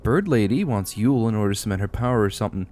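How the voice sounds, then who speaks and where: A man's voice: fairly low pitch, expressive, rapid-fire, dark tone, lightly acted. A man voicing a gleeful soldier in an audiobook, his voice thick with humor. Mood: gleeful, amused. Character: amused male soldier gleefully watching a fight. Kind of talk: fan audiobook character acting.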